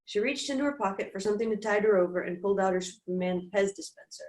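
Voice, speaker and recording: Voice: medium pitch, quick pace, a little mellow. A workshop participant reading a short piece of fiction aloud. The voice sits in a noticeably roomy, echoey space and reaches the others over a video call.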